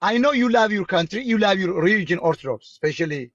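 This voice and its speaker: A man with an Ethiopian accent, a native Amharic speaker, hosting a stream and ranting about politics in halting, repetitive, strong-worded English. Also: sits high, lively intonation, no room sound, highs cut off. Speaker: reaction stream host. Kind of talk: heated political rant.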